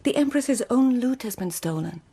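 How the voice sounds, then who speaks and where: A woman's voice: on the high side, expressive, normal speed, mellow, breathy edge, no room sound. A woman playing a shrewd, witty lady-in-waiting at an imperial court in a radio drama, speaking in a poised, articulate, formal way. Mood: earnest, defensive. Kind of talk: 2000s radio drama acting.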